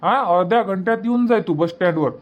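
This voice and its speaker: A man's voice: sits high, fast, dull audio, natural voice. A man voicing a practical village uncle in a cartoon family comedy, speaking rural Varhadi Marathi. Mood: hurrying, practical.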